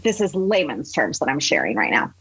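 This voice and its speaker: Candid, emphatic woman with a husky voice. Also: extremely low pitch, huge pitch swings, a little fast, fairly bright tone, dry recording, low-quality recording. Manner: candid, emphatic, acts out quoted remarks, swears casually. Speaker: podcast guest, empowerment coach. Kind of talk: conversational interview talk.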